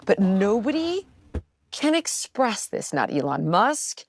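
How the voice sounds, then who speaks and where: A woman from California, talking to a guest in an emphatic way, rising to exasperated peaks with quick bursts. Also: mid-range voice, big pitch swings. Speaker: guest speaking to a political figure. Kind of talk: impassioned conversational monologue.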